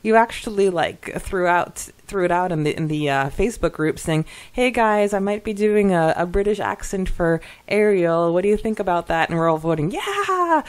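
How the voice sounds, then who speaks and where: A woman with a slightly low voice co-hosts a casual podcast. She reads listener comments and chats enthusiastically, often halting and starting her phrases again. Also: lively intonation. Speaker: podcast co-host.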